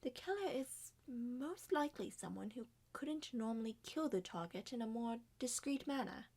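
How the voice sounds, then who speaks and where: Higher-voiced woman performing an ASMR roleplay. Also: expressive, moderate pace, a little mellow, slightly rough. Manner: switches between several acted characters. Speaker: amateur voice performer. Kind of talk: ASMR roleplay character acting.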